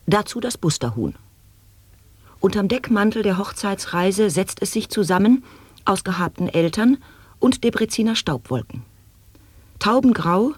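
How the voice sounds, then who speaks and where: Formal questions with measured earnestness in a 1980s radio play. The sound is close and dry, with a mid-range voice. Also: female voice, medium pitch, expressive, husky, no echo. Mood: recited.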